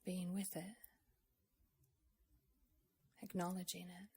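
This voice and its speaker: A woman leads a guided meditation in a gentle, unhurried manner, leaving long pauses between phrases. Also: lowish voice, level tone, gravelly, husky. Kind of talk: slow guided meditation, with a poem recited.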